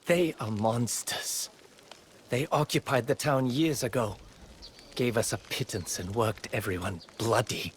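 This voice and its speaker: Casting: male actor as eager young villager-turned-fighter, sincere, mid-pitched, game drama, faint background noise. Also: a little slow, lightly acted. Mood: earnest, resolute. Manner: earnest, eager. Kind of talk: video game cutscene acting.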